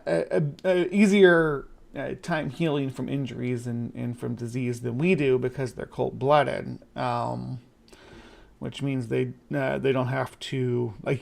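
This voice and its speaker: A man's voice: medium pitch, creaky, a little mellow. A man hosting a casual live stream, talking in a rambling way with many fillers and restarts. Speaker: stream host.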